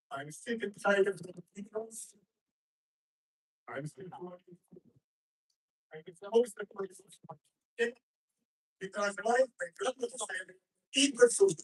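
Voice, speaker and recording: A man's voice: sits high, husky, low-quality recording. A man from the audience making an impassioned public comment, pressing his points with repeated "okay?". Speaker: audience member asking a question.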